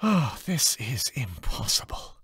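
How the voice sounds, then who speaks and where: Man voicing a weary, higher-pitched woman artist. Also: big pitch swings, slow pace, fairly bright tone, slightly rough, dry recording, clean studio sound, exaggerated voice. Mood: strained. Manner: tired, hesitant, restrained. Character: weary, polite woman glass artist under pressure.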